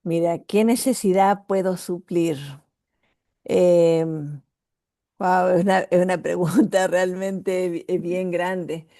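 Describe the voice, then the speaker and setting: Slow-talking mellow woman. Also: on the low side, fairly even intonation. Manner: hesitant, searching for words, warm laughs. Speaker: guest from a ministry. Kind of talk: conversational interview answer.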